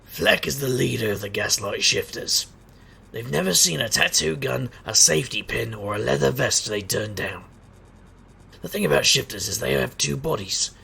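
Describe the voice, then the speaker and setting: An actor playing a wisecracking private eye and street magician, narrating an audio drama in a sardonic, gravelly way. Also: male voice, medium pitch, expressive, normal speed, sharp tone, heavily acted. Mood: wry. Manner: sardonic, wry. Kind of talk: noir-style first-person narration and dialogue in audio drama.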